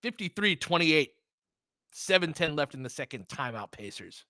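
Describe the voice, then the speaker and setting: American male fan-stream sports host, bright forward voice, very dry sound, informal and opinionated play-by-play. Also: on the high side, very animated, normal speed, no room sound. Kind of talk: basketball play-by-play mixed with casual fan chat.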